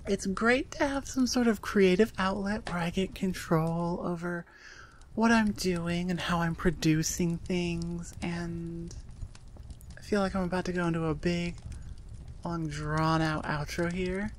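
A woman's voice: lowish voice, slight background noise. A woman audio creator talking casually and personally to her listeners, in an earnest, rambling way.

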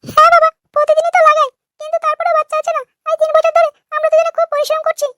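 A young cartoon wife with a piercingly high, squeaky, pitched-up voice. She talks extremely fast and insistently, quick to scold, in a bright tone. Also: completely flat delivery, no echo, studio quality. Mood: pleading. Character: childless young wife, pleading yet bossy with her husband. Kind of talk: comic cartoon dialogue.

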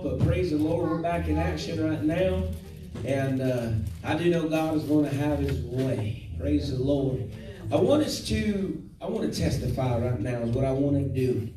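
A man in his thirties, a pastor leading testimony time in a small church, fervent and preacherly, speaking into a handheld mic. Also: fairly high pitch. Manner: fervent, preacherly, frequent praise-the-Lords. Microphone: handheld mic, filmed by far camera. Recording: echoey church from a distance.

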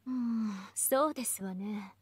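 A woman's voice: a little high, a little slow, breathy edge, no room sound. A woman playing a proud young goddess of justice in an anime game, with refined, teasing, ladylike speech. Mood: haughty, musing. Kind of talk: scripted anime game voice acting.

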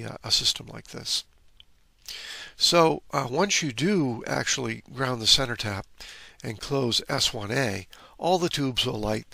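A man's voice: mid-range voice, creaky, bright, forceful tone, slightly rough, no echo. A man presenting an informal, instructive technical walkthrough, explaining electronics step by step. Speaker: presenter of an electronics repair video.

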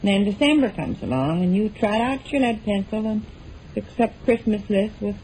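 Actress playing a nagging, folksy American wife. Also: female voice, mid-range voice, animated, moderate pace, dark tone, close to an everyday voice. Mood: reproachful. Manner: folksy, persistent questioning. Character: thrifty, nagging, skeptical wife in a domestic comedy. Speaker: radio actress. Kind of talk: 1940s radio comedy acting. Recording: noisy old 1940s radio recording.

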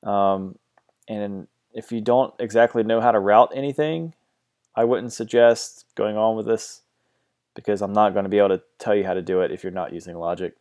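A man giving a casual software tutorial, hesitant and full of ums and restarts, recorded on a mono home mic. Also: lowish voice, steady tone, moderate pace. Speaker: tutorial host.

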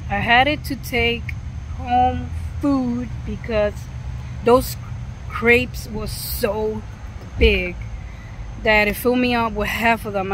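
A middle-aged woman giving a casual food review to the camera, halting and repeating words as she goes. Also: medium pitch, slight background noise, rough recording. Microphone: camera or phone at arm's length. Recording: music behind the voice.

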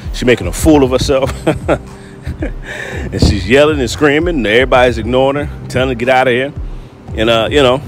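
Rambling man hosting a reaction commentary. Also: medium pitch. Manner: rambling, repeats himself with filler words and chuckles. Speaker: host of a commentary channel.

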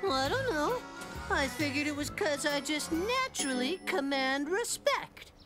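Pompous little cartoon boy, chubby and earnest, very high voice, lively swings, music underneath. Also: measured pace. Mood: smug. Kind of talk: cartoon voice acting. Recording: background music under dialogue.

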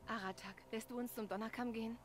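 An actress playing a determined young huntress hero who speaks calmly and plainly. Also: female voice, a little high, quick pace, slight background noise, lightly acted.

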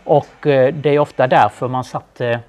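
A middle-aged Swedish man presenting a car in a relaxed, knowledgeable studio chat, with frequent fillers. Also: medium pitch. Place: small studio with a brick wall.

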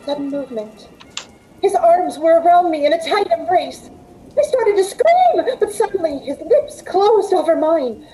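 An actress playing a frightened but quick-witted waitress, narrating an old-time radio thriller in a hesitant, breathless way. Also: female voice, very high voice, expressive, mellow, soft background music, rough recording. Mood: alarmed. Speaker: narrator and lead of the play. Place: indoor room at home, on a video call.

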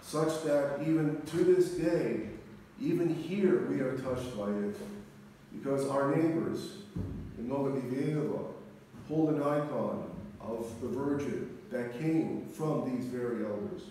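A middle-aged man, an Orthodox priest, preaching a sermon in a solemn, deliberate manner with measured pauses. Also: mid-range voice, mellow. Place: large church interior, speaking from the front. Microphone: distant camera microphone. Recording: echoey church, distant mic, faint noise.

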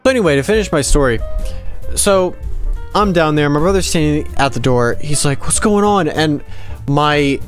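A man in his twenties doing casual gameplay commentary and telling personal stories in an animated, rambling, conversational way. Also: on the high side, normal speed, soft background music. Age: 20s. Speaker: gaming video host. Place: small dim room at home, indoors.

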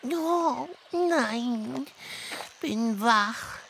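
A woman voicing a small, cheeky talking animal in a children's audio drama, with drawn-out, whimpering delivery. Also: fairly high pitch, animated, creaky, noisy background, heavily acted. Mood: queasy, groggy.

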